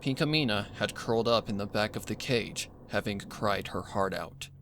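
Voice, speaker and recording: A man's voice: fairly low pitch, moderate pace, creaky, a bit bright, slight background noise. A man reading a scripted horror story as narrator, with music playing behind him. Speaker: fan fiction narrator.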